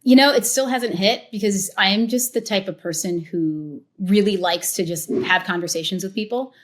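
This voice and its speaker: A woman's voice: medium pitch, normal speed. An American woman who educates people about tequila, talking casually and with energy as a podcast guest, with plenty of "um" and "like". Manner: chatty, animated, many "um"s and "like"s. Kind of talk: casual interview talk.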